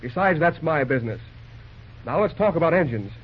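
Casting: young American railway superintendent, radio serial. Firm, businesslike, higher-set husky voice, lo-fi broadcast sound. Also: male voice, lively intonation, a little fast. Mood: firm, stern. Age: young adult. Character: young assistant railroad superintendent, firm, level-headed, no-nonsense. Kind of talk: old-time radio drama acting. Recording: dull, lo-fi old radio broadcast recording.

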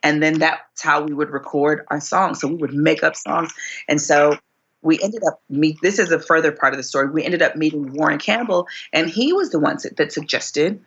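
A woman in her forties, a singer being interviewed, telling her story expressively, her voice climbing high whenever she relives a moment. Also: moderate pace, fairly bright tone, husky, lo-fi sound. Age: 40s. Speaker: guest singer.

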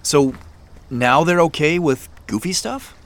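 An actor playing a thoughtful, wry and slightly weary business leader in a naturalistic audio drama, speaking in a low-key, halting way. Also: male voice, expressive, fairly bright tone. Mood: wry, wary.

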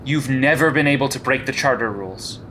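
A man's voice: medium pitch, a little fast, a bit bright, slight background noise, natural voice. An actor playing a persistent male investigator with a hero license, questioning and pressing in an audio drama.

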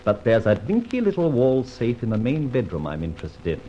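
An Australian actor playing a suave, playful gentleman thief in a 1950s radio drama, brisk and theatrical. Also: medium pitch, wildly swooping pitch. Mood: scheming, playful. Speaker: lead character. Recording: dull old radio recording, faint hiss.

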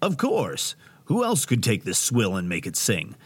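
Hammy, wildly expressive radio showman. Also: fairly low pitch, measured pace, breathy edge, full character voice. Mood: dramatic relief. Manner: melodramatic, grandiose. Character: theatrical, self-important radio host actor, hammy and florid. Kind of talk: audio drama acting, radio showman patter.

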